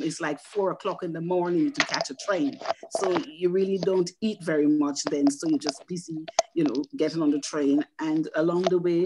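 A woman's voice: low voice, heavy background noise. An older woman with a Guyanese accent, chatting on a video call and rambling through memories. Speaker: guest. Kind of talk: casual reminiscing on a video call.

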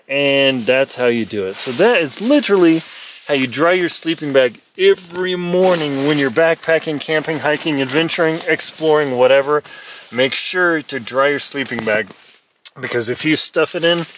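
A middle-aged man, an explorer and adventurer, giving a lively, playful how-to talk from inside a small tent, filmed on a phone at arm's length. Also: mid-range voice, recorded from a distance, slight background noise. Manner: lively, playful, mock-dramatic asides. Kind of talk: casual how-to vlog. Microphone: phone camera held at arm's length.

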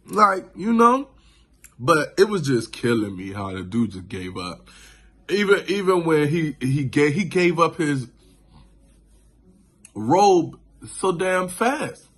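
A man in his thirties recapping a show on his vlog, laid-back and animated, from a small room indoors into a phone camera. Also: fairly high pitch, takes it slowly, pauses often, breathy edge. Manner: laid-back, animated, lots of "you know what I'm saying". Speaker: vlogger. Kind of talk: casual reaction vlog.

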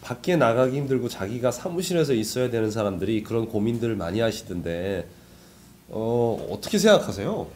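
A man's voice: medium pitch, big pitch swings, brisk, darkish tone, slight background noise. A man hosting a casual business interview, chatting conversationally while suffering from a cold and sore throat. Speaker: interviewer, host of a business talk show.

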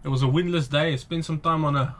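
A man's voice: fairly high pitch, brisk. A man in his thirties talking casually about his boat, rambling a little with fillers, mostly outdoors on the water. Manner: rambling, relaxed, with many fillers. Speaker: sailing vlogger. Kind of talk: casual vlog narration. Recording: outdoor noise behind the voice.

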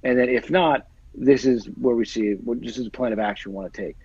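Man, forties, fast talker, husky voice, rambling with fillers, dry dull video call audio. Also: medium pitch, darkish tone, no echo. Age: 40s. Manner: rambling, repeats phrases, many fillers. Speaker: football agent and guest. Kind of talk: casual interview chat. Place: small room indoors. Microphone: earbuds on a video call.

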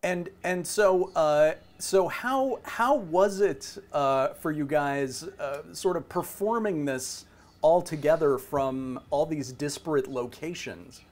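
A middle-aged man hosting a conversational interview on a video call, feeling his way through questions with halting, repeated words. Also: on the high side. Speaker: interviewer and show host. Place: indoor room at home.

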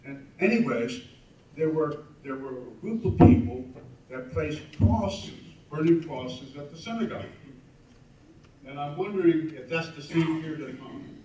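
A man's voice: a little high, lively intonation, a little slow, dark tone. Man asking questions in a very echoey hall. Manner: hesitant questioning. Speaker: audience member. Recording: very echoey hall, distant, noisy.

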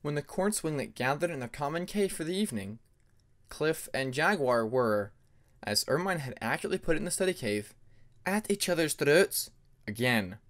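Male audiobook narrator, scripted fantasy storytelling, expressive pitch movement. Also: normal speed.

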